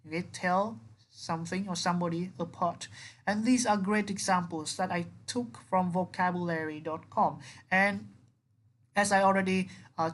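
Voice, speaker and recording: A woman English teacher giving an explanatory vocabulary lesson, instructive, often repeating words and rephrasing them with synonyms. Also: on the low side.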